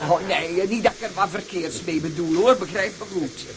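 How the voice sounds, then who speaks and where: A woman's voice: normal speed, crowd noise, natural voice. An actress playing a pious, nosy, chatty country mother in a radio drama. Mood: chatty, curious. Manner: chatty, folksy, moralizing. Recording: noisy train rumble and hiss.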